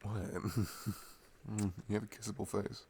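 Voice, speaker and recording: Male, lowish gravelly voice, rambling intimate ASMR boyfriend chat, slangy and jokey. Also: flat delivery, a little slow, noisy background. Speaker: ASMR boyfriend roleplay performer.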